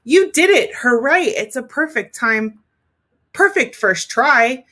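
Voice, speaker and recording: A woman's voice: high-pitched, animated, slow pace, pushed and bright, full character voice. A woman reading the part of a spirited, encouraging old great-aunt in a storybook, with an exclamatory manner. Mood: elated encouragement.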